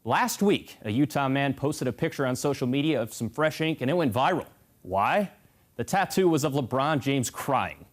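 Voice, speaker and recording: A man's voice: medium pitch, lively intonation, moderate pace. A young American man anchoring a scripted sportscast with a punchy, polished broadcast delivery. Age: young adult.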